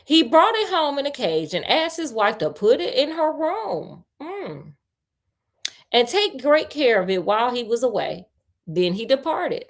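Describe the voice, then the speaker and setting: A woman host reading a story aloud on a livestream, breaking in with playful, colloquial asides and expressive delivery. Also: sits high, a little slow.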